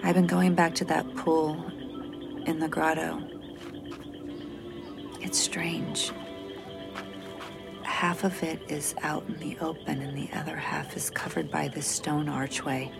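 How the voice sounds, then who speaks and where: A woman's voice: low voice, little pitch movement, slow pace, gravelly, long pauses, loud music behind the voice, exaggerated voice. An actress playing a stressed, weary space mission officer who is protective of her crew, in an audio drama. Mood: subdued, uneasy. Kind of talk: audio drama acting, logged report monologue.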